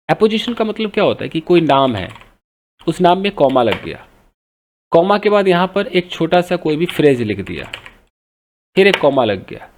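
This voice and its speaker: A man teaching English grammar from a newspaper, patiently repeating points and asking his learners questions. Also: high voice. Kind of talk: grammar lesson explaining a newspaper.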